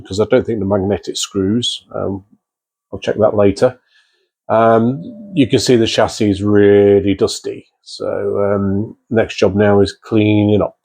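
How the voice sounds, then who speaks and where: A man's voice: on the low side, a little mellow. A man giving unscripted hands-on workshop commentary in a matter-of-fact, methodical way, with hesitant phrasing. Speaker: presenter of a vintage radio restoration channel. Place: indoor workbench in a small workshop.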